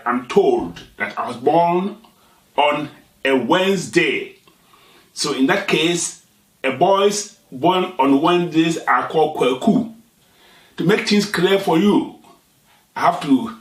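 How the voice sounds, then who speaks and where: A man from Ghana whose first language is Twi, speaking English with a Ghanaian accent as the host of a satirical talk show, unhurried and explanatory with pauses and restarts. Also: a little high, fairly bright tone, reverberant, distant mic, rough recording.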